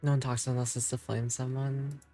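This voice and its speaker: A man's voice: medium pitch, little pitch movement, moderate pace, mellow. A man live streaming a game and chatting casually with viewers in a hesitant, rambling way. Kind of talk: casual chat with viewers while gaming. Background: game music.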